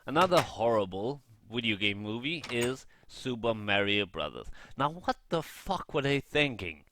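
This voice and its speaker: A man's voice: mid-range voice, very animated, normal speed, a bit bright. A man giving casual gameplay commentary, rambling and thinking aloud as he shares blunt opinions. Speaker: gaming vlogger.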